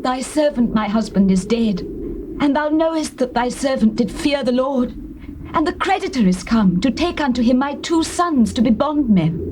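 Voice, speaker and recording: An actress playing a distressed widow who pleads in an anguished manner. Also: female voice, sits high, animated, moderate pace, noisy background. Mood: pleading.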